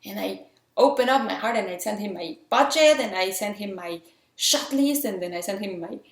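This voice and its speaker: A woman's voice: medium pitch, lively intonation, moderate pace. Chatty Mexican-accented woman in a roomy space. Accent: Mexican, with some French influence. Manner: earnest, chatty and enthusiastic. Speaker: independent filmmaker. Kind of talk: casual talk giving filmmaking tips. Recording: roomy echo.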